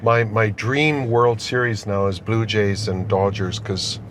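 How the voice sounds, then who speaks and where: Low-voiced middle-aged man in a noisy car. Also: fairly even intonation, measured pace, fairly bright tone. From born in Austria, immigrant to Canada. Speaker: company chief executive being interviewed. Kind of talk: casual conversational interview. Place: inside a car being driven. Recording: noisy moving vehicle interior.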